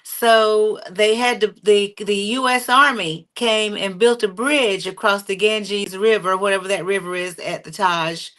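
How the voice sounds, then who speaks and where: Woman guest singer, bright tone, easy anecdotal delivery. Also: mid-range voice, fairly even intonation, a little slow, hardly any pauses. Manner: warm storytelling with fillers and small laughs. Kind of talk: casual interview conversation.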